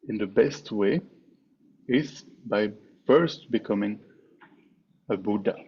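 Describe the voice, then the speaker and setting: A man teaching a guided meditation in a measured way, pausing often between short phrases. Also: fairly low pitch, darkish tone. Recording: dull, muffled online call with clear background noise.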